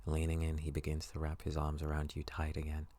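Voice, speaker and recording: A man's voice: very deep voice, completely flat delivery, brisk, dark tone. A young man reading scripted second-person story narration as an intimate ASMR narrator.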